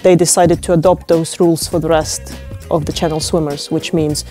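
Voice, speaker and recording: Woman, lowish mellow voice, easygoing interview talk w lots of uhs, soft music under. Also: level tone. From Ukraine. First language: Ukrainian. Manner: unhurried, with many fillers and restarts. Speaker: interviewee, open-water swimmer.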